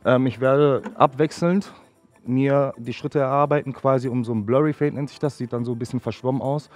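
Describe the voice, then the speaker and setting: A man's voice: medium pitch, fairly even intonation, brisk, faint music behind. A man in his thirties, a barber, casually explaining his work through a headset mic in a sports hall. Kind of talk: casual explanation while working. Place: large indoor sports hall.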